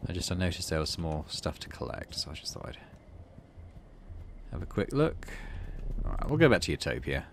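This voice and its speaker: A man's voice: low-pitched, very animated, fairly quick, pauses often, slight background noise. A man hosting a gaming video, casually narrating and commenting as he thinks aloud. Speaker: gaming channel host.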